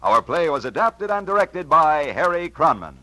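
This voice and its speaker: A man's voice: a little high, big pitch swings, moderate pace, over loud music, poor audio. An American man announcing on 1950s radio in a polished, emphatic, scripted promotional style.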